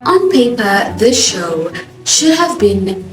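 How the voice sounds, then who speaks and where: A young woman, a native Swahili speaker with a Johannesburg accent, giving a sassy, expressive TV show review as host. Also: mid-range voice, slow. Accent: South African (Johannesburg). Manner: expressive, sassy, animated emphasis. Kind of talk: casual opinionated review. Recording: echoey room with music behind.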